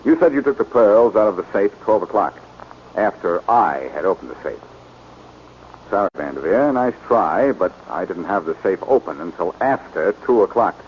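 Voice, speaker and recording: An actor playing a wry, self-assured amateur detective in a 1940s radio drama, talking briskly and needlingly. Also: male voice, low voice, expressive. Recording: dull, noisy old 1940s radio broadcast.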